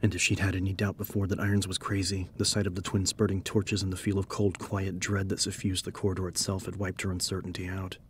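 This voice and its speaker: Low, very monotone male audiobook narrator. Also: fast talker, few pauses, slight background noise. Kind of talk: scripted horror story narration.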